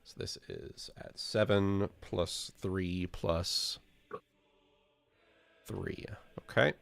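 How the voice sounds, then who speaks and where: A man with a fry-edged voice and an unhurried delivery. He talks casually as a tabletop roleplayer, agreeable and brief in his replies. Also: low-pitched, steady tone, a bit bright. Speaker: player in a tabletop roleplaying game. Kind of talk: casual game-session chat.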